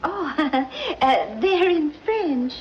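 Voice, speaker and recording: A woman's voice: high voice, very animated, extremely slow, a little hiss or hum, exaggerated voice. A woman playing a fluttery, gushing wealthy society mother in an old film. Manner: fluttery, gushing, breathy little laughs. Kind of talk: 1940s film acting. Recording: dull old film soundtrack.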